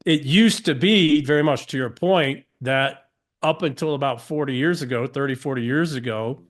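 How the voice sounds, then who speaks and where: A man's voice: medium pitch, normal speed. Emphatic man lecturing as a podcast guest. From United States. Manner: emphatic, asks rhetorical questions, restarts sentences.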